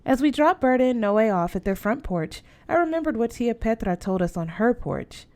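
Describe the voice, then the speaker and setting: A woman's voice: fairly high pitch, big pitch swings, moderate pace, dark tone. A woman narrating a first-person story as an audiobook reader, in a scripted storytelling style.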